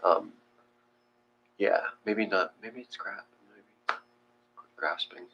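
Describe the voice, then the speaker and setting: A man in a casual, reflective discussion, thinking aloud in a halting way and trailing off. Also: sits low, vocal fry, bright, forceful tone. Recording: muffled, with faint hum.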